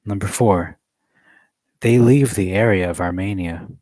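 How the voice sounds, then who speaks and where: A man reading a study text aloud in a measured way, adding occasional joking asides. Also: sits low, warm tone, dry recording.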